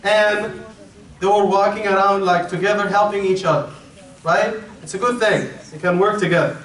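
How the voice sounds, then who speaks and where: A man's voice: high voice, steady tone, normal speed, fairly bright tone. A man from Egypt giving an informal religious talk to schoolchildren, conversational and repetitive, with frequent fillers. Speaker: teacher giving a talk to students. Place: school hall with children. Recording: echoey hall, distant mic, faint crowd.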